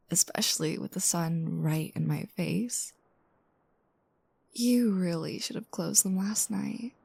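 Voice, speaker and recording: A mellow, slightly gravelly young girlfriend, acted by a woman in an intimate audio roleplay, speaking slowly and softly right up close. She is playful and teasing, with a drowsy just-woke-up manner and a dry, roomless sound. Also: on the low side, no echo, exaggerated voice. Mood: drowsy, grumbling. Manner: soft, breathy asides, teasing, unhurried.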